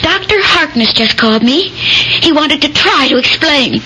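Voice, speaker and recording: A worried young fiancée in a radio drama. Her high voice is intense and insistent. Clear noise runs through the old broadcast recording. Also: lively intonation, a little slow, pushed and bright, full character voice, low-quality recording. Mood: upset, demanding. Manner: intense, pressing. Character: anxious, insistent young woman, surgeon's fiancée.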